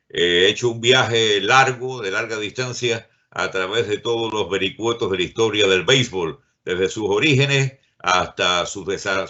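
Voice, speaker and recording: Male host, very low pitch, sharp bright tone, dull lo-fi sound, halting chat. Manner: affirming, filler-heavy, hesitant. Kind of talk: casual chat. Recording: dull lo-fi recording.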